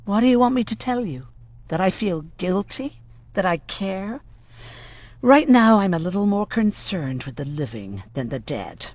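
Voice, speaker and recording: A woman's voice: mid-range voice, sing-song, measured pace, darkish tone. An actress playing a hardened female doctor who survived a camp, speaking bluntly and sardonically. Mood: defensive, curt. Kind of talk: game voice acting, dramatic dialogue.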